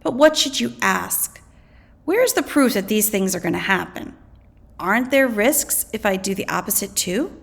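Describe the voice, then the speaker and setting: A woman, a teacher reading scripted educational text aloud in an expressive way. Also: mid-range voice, normal speed, creaky. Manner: expressive, acts out quoted slogans.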